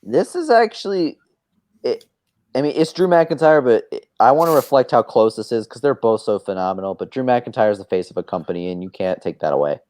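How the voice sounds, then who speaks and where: Male co-host, teasing and crude, animated pitch. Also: fairly quick. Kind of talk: casual chat among friends.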